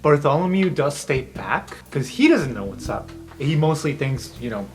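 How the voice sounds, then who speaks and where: A man's voice: on the high side, very animated, normal speed. A man working as game master, narrating an improvised tabletop game in a casual, conversational way. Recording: background music under voice.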